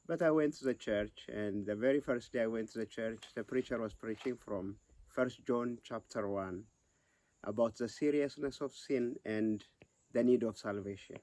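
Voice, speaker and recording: A man's voice: medium pitch, mellow, dry recording. Earnest man with a dry close voice. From Ethiopia. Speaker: regional ministry director. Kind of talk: interview testimony.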